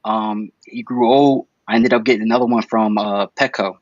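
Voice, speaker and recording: A man's voice: fairly low pitch, fairly even intonation, moderate pace, no room sound, muffled recording. A man in his twenties, a guest reptile breeder chatting casually on a video-call podcast, talking in a laid-back, rambling way with many fillers. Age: 20s.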